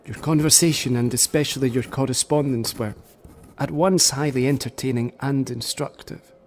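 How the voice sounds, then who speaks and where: A man playing a dying Scottish poet in a radio play. He speaks in a middling pitch, formal, measured and earnest, as if reading a farewell letter. Faint ambience sits behind him. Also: a little hiss or hum, lightly acted. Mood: solemn, resigned.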